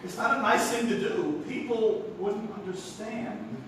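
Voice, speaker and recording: An actor playing an exasperated young nephew in a stage comedy, frantic, stammering and emphatic. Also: male voice, high-pitched, fairly even intonation, measured pace, fairly bright tone. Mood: flustered, pleading. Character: flustered, exasperated young theater critic nephew. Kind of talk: theatrical stage comedy acting. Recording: echoey, noisy live theater from far off.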